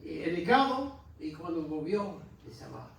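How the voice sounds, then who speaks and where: American guy preaching sunday school, kinda high voice, far from the mic in a big echoey room. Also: very animated, vocal fry, a bit bright. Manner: earnest, exhorting with halting restarts. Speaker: pastor. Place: church hall. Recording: echoey church hall from far off.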